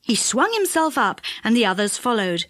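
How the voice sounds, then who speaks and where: A woman narrating a children's audiobook in an expressive storytelling style. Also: a little high, moderate pace, no room sound, clean studio sound.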